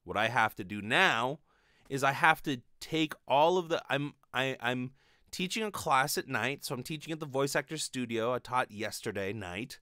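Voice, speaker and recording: A man in his thirties giving a casual comic monologue as a podcast host, animated, rambling and sweary, close on a desk mic. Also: mid-range voice, normal speed, dry recording. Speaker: podcast host, improv performer. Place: small bedroom, indoors. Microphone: desk condenser mic with pop filter, close.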